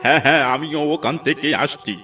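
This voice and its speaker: A man's voice: fairly high pitch, fairly even intonation, fairly quick, sharp tone. A man voicing a blunt, grumbling older village man in a cartoon. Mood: brusque. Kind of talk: cartoon voice acting. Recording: slightly distant, music behind.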